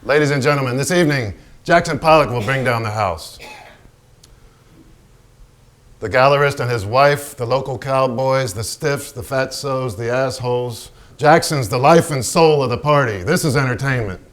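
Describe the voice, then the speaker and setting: An actor playing a boozy, swaggering, profane genius painter in a theatrical staged reading, declaiming with crude, sardonic bite. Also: male voice, medium pitch, normal speed, few pauses, lightly acted. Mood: showman bravado.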